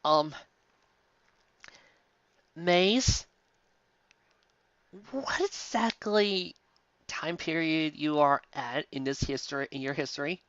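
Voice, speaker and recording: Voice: mid-range voice, slow, long pauses, a bit bright, muffled recording. A sarcastic, exasperated commentator reading fan fiction aloud and breaking off into theatrical asides. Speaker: reader and commentator.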